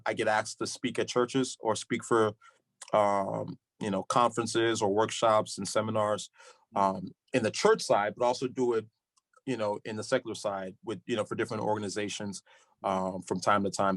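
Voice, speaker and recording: A man's voice: medium pitch, no echo. A man guesting on a podcast, talking casually and animatedly, with lots of "you know" fillers and frequent laughs. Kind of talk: casual chat.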